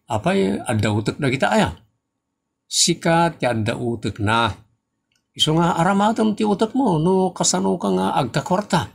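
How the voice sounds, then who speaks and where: Man acting creaky-voiced, teasing Filipino father. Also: fairly high pitch, expressive, normal speed. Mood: scolding, amused. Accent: Ilocano Filipino. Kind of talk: Ilocano comedy audio drama acting.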